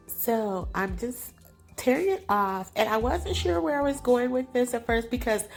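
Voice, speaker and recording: A woman's voice: a little high, gravelly. A woman hosting a crafting channel, talking casually over her tutorial, sounding nasal and congested from allergies, chatty and apologetic. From California. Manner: chatty, apologetic, laughs often.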